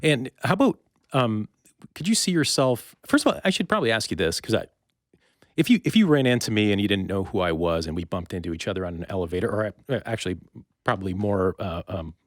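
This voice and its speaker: Male podcast host, low-pitched, dry studio-close sound, casual and halting, circles around his questions. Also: expressive, dry recording. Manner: halting, roundabout phrasing.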